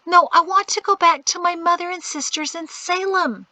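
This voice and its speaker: A woman voicing a lonely, tearful young woman who whimpers and pleads in a high voice. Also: moderate pace, pushed and bright, no room sound, heavily acted. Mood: whimpering.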